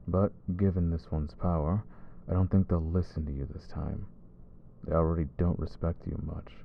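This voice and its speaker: A man voicing a brooding male vampire who hunts his own kind, speaking low and measured in an ASMR roleplay. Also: vocal fry, narrow, tinny audio, a little hiss or hum, exaggerated voice.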